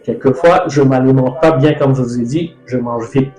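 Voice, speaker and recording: A man's voice: medium pitch, moderate pace. A middle-aged man from Mauritius hosting a casual talk on food, rambling and correcting himself as he goes. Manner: rambling, loose, self-correcting. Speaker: host. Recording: echoey room with music. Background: soft music.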